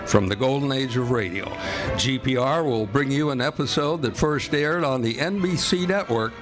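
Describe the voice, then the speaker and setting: A male radio announcer in a 1940s style, with a mid-range voice. He delivers scripted copy formally, like a classic sponsor read, with music clearly audible. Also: medium pitch, moderate pace. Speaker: announcer of a live radio theatre show.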